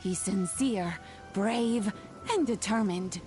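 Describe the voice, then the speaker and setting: Actress as kindly game granny: elderly, serene, doting, slow delivery, music bed. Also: female voice, mid-range voice, lightly acted. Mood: proud, tender. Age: older. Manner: gentle, measured, doting. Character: kindly old granny oni, fondly protective, serene. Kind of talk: video game voice acting.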